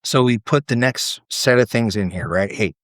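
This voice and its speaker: A man's voice: mid-range voice, moderate pace, fairly bright tone, no echo, clean studio sound. A man giving a casual screen-share tutorial, explaining things informally step by step. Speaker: presenter demonstrating self-built apps.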